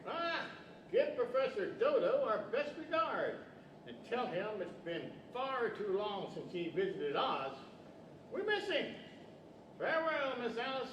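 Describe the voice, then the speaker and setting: Casting: older man as courtly storybook wizard-professor, theatrical, high-pitched, in an echoey hall. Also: very animated, unhurried, heavily acted. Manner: formal, theatrical. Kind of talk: 1940s radio-style stage acting. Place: large library event room, standing microphone. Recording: distant, dull, echoey hall with hiss.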